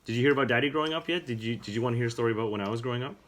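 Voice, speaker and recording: A man, a father, casually telling family stories to his young daughter in a gentle, rambling way. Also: mid-range voice, racing. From Canada. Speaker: father and channel host.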